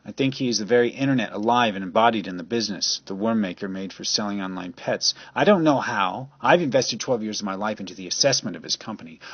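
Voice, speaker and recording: A man's voice: fairly low pitch, a bit bright, breathy edge, no room sound, exaggerated voice. Man acting jittery detective, stammering, pleading, obsessed, radio play, dull dry recording. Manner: stammering, urgent. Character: nervous, pleading, obsessed amateur detective on the phone.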